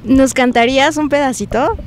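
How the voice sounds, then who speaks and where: A young Mexican woman with a high voice works as an interviewer on location. She talks into a handheld mic in an open plaza, with faint outdoor noise behind her. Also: moderate pace. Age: young adult. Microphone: handheld reporter microphone.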